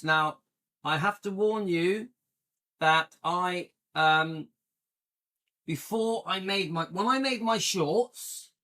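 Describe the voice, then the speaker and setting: A middle-aged man, hosting a casual live stream and chatting with viewers, rambling with a playful edge. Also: pushed and bright. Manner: chatty and rambling, jumps into a higher playful pitch when joking. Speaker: YouTube channel host.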